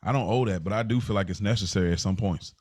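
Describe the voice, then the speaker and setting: A man co-hosting a podcast, telling stories in a casual, conversational way, halting and repeating phrases as he works out his point. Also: lowish voice, darkish tone, no echo, studio quality. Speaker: podcast co-host.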